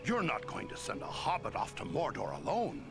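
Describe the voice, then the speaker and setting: An actor playing a gruff, blunt warrior in a fantasy game, with a gravelly voice. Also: male voice, medium pitch, wildly swooping pitch, brisk, over music. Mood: blunt, incredulous. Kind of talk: dramatic fantasy game acting.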